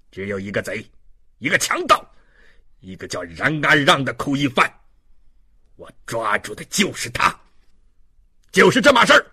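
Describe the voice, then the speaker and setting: A man's voice: fairly high pitch, slightly rough, full character voice. A man voicing a brutal, mocking police inspector in a dramatic audiobook, barking with contempt. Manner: barking, contemptuous, forceful delivery.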